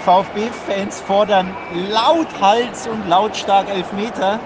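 A man's voice: sits high, steady tone, drawn-out words. A man working as a football journalist, commenting casually on a match from the press stand. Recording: noisy stadium with loud crowd. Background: crowd noise.

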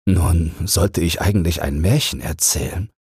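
Man as a very husky, kindly old storyteller. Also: sits low, darkish tone, no room sound, studio quality. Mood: kindly, musing. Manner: kindly, musing, unhurried. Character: friendly old man, gentle teller of fairy tales.

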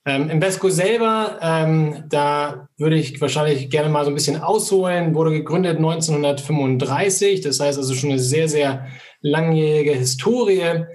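A middle-aged man answering interview questions about his firm, measured and full of fillers. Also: fairly high pitch, darkish tone. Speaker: asset manager guest.

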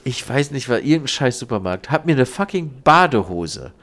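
Male podcast co-host, German accent, mid-pitched, congested nose, loose colloquial storytelling with some swearing. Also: very animated, moderate pace, a bit bright. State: blocked nose from an oncoming cold. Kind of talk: casual chat and anecdotes.

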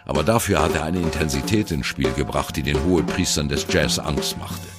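An actor playing a wry, self-doubting ageing jazz drummer, telling his memories drily in a radio play monologue, with a gravelly, husky voice. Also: extremely low pitch, a little fast, over loud music. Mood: wry, admiring. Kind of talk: radio play monologue, first-person narration.

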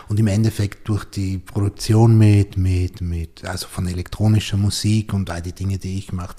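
Male podcast host, Austrian, low and mellow, thoughtful, hesitant phrasing. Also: breathy edge. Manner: hesitant, repeats words while formulating thoughts. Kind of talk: reflective podcast conversation.

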